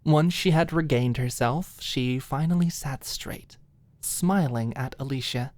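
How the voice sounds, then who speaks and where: A woman's voice: a bass voice, unhurried, darkish tone, no echo. A woman reading scripted story narration for an ASMR-style audiobook, close to the microphone.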